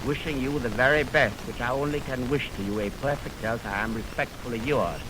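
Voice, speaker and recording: A man hosting a 1920s radio music program, lecturing in a formal, oratorical, measured way on a crackly old broadcast recording. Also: mid-range voice. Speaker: radio host introducing orchestral music. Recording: noisy, crackly 1920s optical film radio aircheck.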